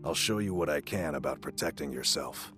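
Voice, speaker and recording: An actor playing a gruff, hardened Nordic warrior in a video game, stern and measured. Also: male voice, a bass voice, expressive. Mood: stern, reflective. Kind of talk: video game character dialogue. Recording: music behind the voice.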